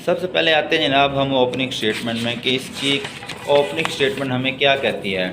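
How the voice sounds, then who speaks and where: A man's voice: mid-range voice, brisk. A man with a Pakistani accent giving a law lecture, methodically reading out notes and explaining them. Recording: clearly noisy background.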